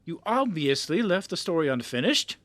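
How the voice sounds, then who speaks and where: Audio drama role: hearty, demanding editor, high-pitched, emphatic and theatrical, close dry sound, male actor. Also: sing-song, normal speed, a bit bright, no room sound. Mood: excited, pushy. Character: effusive, pushy male magazine boss.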